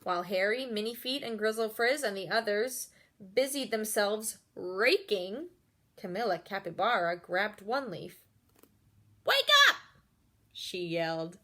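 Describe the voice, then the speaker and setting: A woman's voice: medium pitch, measured pace, fairly bright tone. A woman reading a picture book aloud expressively, with chatty asides addressed straight to young listeners. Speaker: storyteller reading to children.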